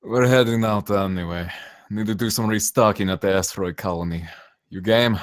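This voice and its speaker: A man's voice: on the low side, moderate pace, dry recording, studio quality, natural voice. A man at a screenplay table read playing a brash, cynical saboteur who talks in emphatic, profane slang. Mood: sly, frank. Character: cynical, brash zealot saboteur posing as safety inspector.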